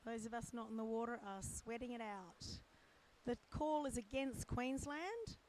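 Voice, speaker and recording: A middle-aged woman with a croaky, strained voice gives casual live sports commentary from poolside. She talks in a chatty, unhurried way, with fillers as she thinks aloud. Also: fairly high pitch, a little mellow, slight background noise. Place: poolside at an indoor or covered swimming pool.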